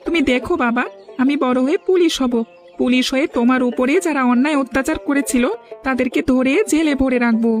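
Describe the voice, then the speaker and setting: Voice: high-pitched, fairly quick, faint music behind, natural voice. A performer playing an earnest, protective young village boy, a big brother in a cartoon drama, speaking eagerly. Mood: eager, hopeful. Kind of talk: dramatic Bengali cartoon dialogue dubbing.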